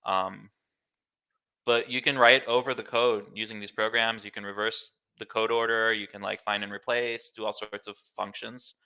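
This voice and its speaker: Bright, clear American man, casual and easygoing. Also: fairly low pitch, little pitch movement, normal speed. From Miami, United States. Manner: easygoing, informal, filler-heavy with "like" and "kinda". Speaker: interview guest, glitch artist. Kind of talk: casual explanatory chat.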